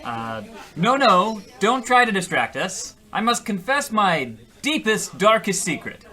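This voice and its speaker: Hammy, attention-hungry loser, wildly animated. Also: male voice, high-pitched, a little slow, exaggerated voice. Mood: exasperated, confessional. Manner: rambling, melodramatic, hammy. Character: attention-hungry, self-dramatizing male loser telling his story in a diner. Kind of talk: comic radio play acting in old radio show vein.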